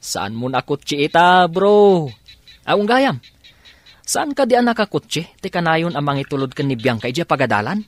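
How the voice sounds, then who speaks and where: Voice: medium pitch, big pitch swings, normal speed, close to an everyday voice. An actor playing a hesitant friend pressed about money in an Ilocano radio drama. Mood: hesitant, teasing. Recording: music bed under dialogue.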